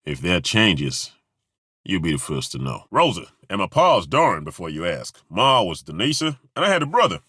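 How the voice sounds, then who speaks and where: A rough-edged former temple guard for a fantasy game, his voice sitting extremely low with a husky grain. He talks bluntly with a wry edge and swears casually, yet his delivery rises and falls with lively swings. The studio sound is bone dry. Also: normal speed, no echo, heavily acted. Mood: reflective. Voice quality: very deep, commanding voice. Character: gruff, blunt male ex-temple guard fighter. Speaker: companion in a game. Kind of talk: game voice acting. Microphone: studio microphone.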